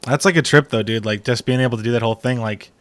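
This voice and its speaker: A man's voice: medium pitch, quick pace, no echo. A man hosting a casual interview, talking in an informal, slangy and encouraging way. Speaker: podcast host.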